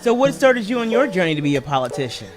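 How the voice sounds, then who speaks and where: A woman hosts a podcast with a very animated voice, reacting quickly and asking questions. Music plays beneath. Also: on the low side. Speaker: host. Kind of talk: casual chat. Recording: talk with music under it.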